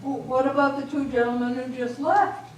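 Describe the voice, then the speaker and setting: A woman's voice: high-pitched, steady tone, normal speed, darkish tone, natural voice. A woman on stage playing a polite, hesitant but insistent stranded traveller, pleading for help. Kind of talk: amateur readers theater stage performance. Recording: echoey hall from far off, faint noise.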